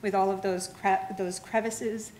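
A woman's voice: mid-range voice, unhurried, slight background noise. Woman giving a slide lecture. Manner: steady explanatory pace with frequent restarts and fillers. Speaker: museum lecturer. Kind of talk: public science lecture with slides. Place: lecture room.

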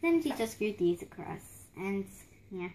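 A woman's voice: mid-range voice, big pitch swings, a little slow, mellow, a little hiss or hum. A young girl casually showing off a doll house her mom built, rambling with fillers and restarts, filmed close on a phone at home. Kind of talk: casual show-and-tell narration. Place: home room beside a handmade doll house. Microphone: phone camera held close, hands in frame.

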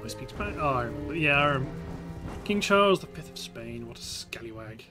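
A man's voice: mid-range voice, lively intonation, fast, music in the background. A British man giving casual let's play commentary on a strategy game, thinking aloud in short remarks. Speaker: gameplay host.

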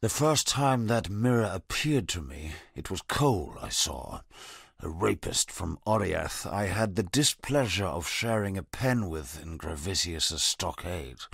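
A bitter, disfigured convict speaks in a very deep, gravelly, husky voice, slow and brooding. His wary, sardonic lines fall dry and close, recorded in a studio for a game. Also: fairly bright tone, no room sound, full character voice. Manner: low, brooding and haunted, sardonic.

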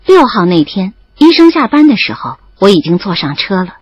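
A woman playing a bashful young student in an audio drama, expressive and easily flustered. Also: mid-range voice, normal speed, breathy edge, dry recording, lo-fi sound. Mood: wry narrating. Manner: expressive, stammering when flustered. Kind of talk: audio drama acting with first-person narration.

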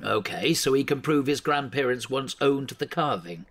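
A bossy newspaper editor in a radio play. He scolds bluntly and with authority, his exasperated voice swinging widely in pitch. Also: lively intonation, moderate pace, a bit bright. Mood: sceptical. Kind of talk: audio drama acting.

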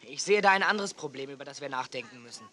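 An actor playing an easygoing, sensible young man in a children's audio drama, calm and reasoning. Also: medium pitch, fast talker. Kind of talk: 1980s German children's audio drama acting.

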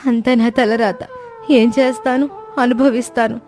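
A woman's voice: a little high, flat delivery, measured pace, a little mellow, close to an everyday voice. A woman voicing an outspoken, aggrieved sparrow wife in a cartoon moral story, speaking in a plaintive, reproachful way. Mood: reproachful, hurt. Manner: plaintive, reproachful, long emotional speeches. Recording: music bed behind voice.

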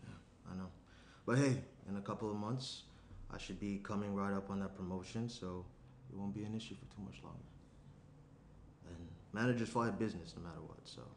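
A male actor plays a self-absorbed young businessman in a relationship drama. His voice sits low, casual and a little grumbly. It is recorded in a roomy space with noticeable noise. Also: fairly quick, close to an everyday voice. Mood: grumbling. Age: young adult. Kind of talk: screenplay table read. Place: small room at a table. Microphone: shared tabletop microphone. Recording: roomy indoor sound, clear background noise.

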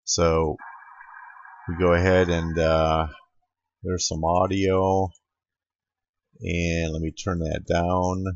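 A man giving an unscripted screen-recording tutorial as an amateur radio operator, explaining step by step in a halting way. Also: deep voice, flat delivery, no room sound. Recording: dull, lo-fi home computer recording.

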